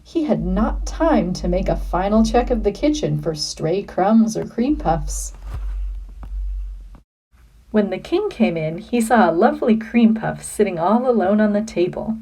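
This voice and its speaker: Expressive woman reading a children's storybook. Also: medium pitch, low-quality recording. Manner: expressive, deliberate phrasing for children.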